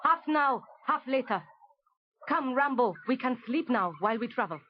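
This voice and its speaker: A Vietnamese woman guide in a children's story tape, high in pitch and businesslike. Her English is clipped and broken, and the old cassette dulls the sound. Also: animated, normal speed, a little hiss or hum. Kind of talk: children's audio story acting. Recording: dull vintage cassette.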